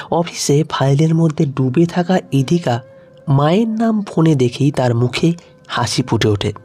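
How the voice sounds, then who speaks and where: A man's voice: on the high side, warm tone, breathy edge. A man narrating a scripted story in a dramatic storytelling style, as the storyteller. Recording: music behind the voice. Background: background music.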